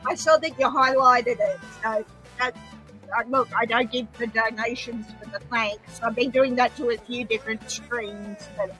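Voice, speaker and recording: Bright-voiced woman chatting on a stream. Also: a little high, steady tone, faint music behind, poor audio. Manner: friendly, polite, talks in quick bursts. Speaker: viewer joining the stream. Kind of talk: casual chat.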